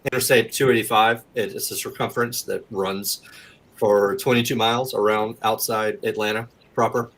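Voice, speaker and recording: Low-voiced man chatting casually. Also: level tone, moderate pace, a bit bright. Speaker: interview guest. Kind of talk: casual chat.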